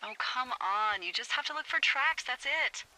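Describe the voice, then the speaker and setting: A woman's voice: high voice, lively intonation, moderate pace, pushed and bright, slight background noise, exaggerated voice, poor audio. A woman with an American accent playing a wry, flirtatious fire lookout in a video game, talking candidly over a two-way radio. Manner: wry, teasing, conversational.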